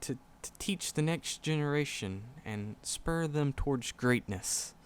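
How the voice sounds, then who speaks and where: A man's voice: a little high, animated, measured pace, slight background noise. A man playing a shy, nervous magic professor in a roleplay audio drama, skittish and earnest, stammering and repeating words as he talks to the listener. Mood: bashful, sincere.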